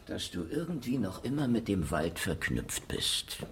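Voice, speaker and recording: An actor playing a battered, stubborn detective in a noir radio drama, terse and sardonic. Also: male voice, deep voice, big pitch swings, a little slow, gravelly, fairly bright tone, exaggerated voice. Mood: accusing, insistent. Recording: echoey room with faint noise.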